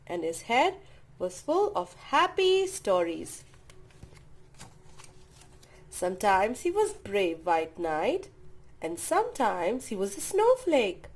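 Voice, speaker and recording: Female children's storyteller. High, very lively pitch, unhurried delivery, dramatic emphasis for little listeners. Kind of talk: expressive read-aloud of a picture book.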